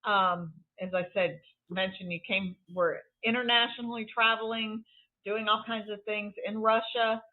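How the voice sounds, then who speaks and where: A woman's voice: medium pitch, steady tone, unhurried. A woman in her sixties hosting a podcast, upbeat and chatty, rambling a little as she talks. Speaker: podcast host. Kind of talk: conversational podcast interview. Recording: tinny phone-like line.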